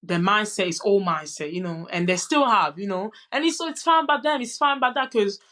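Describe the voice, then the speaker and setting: A young adult woman whose first language is French, telling her story as a podcast guest in an earnest, halting way with frequent restarts. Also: mid-range voice, a bit bright. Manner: earnest, many restarts and "you know" asides. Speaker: guest, boxer. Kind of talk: candid conversational storytelling.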